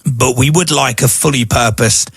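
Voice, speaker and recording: A man's voice: medium pitch, moderate pace, vocal fry, slightly rough, dry recording, studio quality. A middle-aged man co-hosting a casual podcast, chatty and rambling, laughing often. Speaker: podcast co-host and radio station manager.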